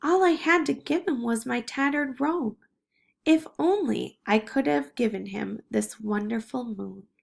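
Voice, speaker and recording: Woman putting on a kindly uncle, unhurried. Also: fairly high pitch, vocal fry, full character voice. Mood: wistful. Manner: welcoming, kindly.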